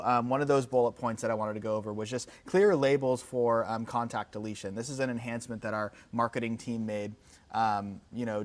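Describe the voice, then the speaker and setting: A man hosting a product update livestream in a conversational, off-the-cuff way, often repeating words as he goes. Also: fairly low pitch. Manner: conversational, off-the-cuff, hesitant repetitions. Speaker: company product presenter and host.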